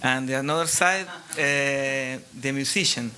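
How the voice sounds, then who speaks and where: A man from Chile with a Chilean Spanish accent, a non-native speaker asking a question from the audience, halting and searching for English words. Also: mid-range voice, noisy background. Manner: hesitant, searching for English words, many restarts. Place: large hall, audience Q&A.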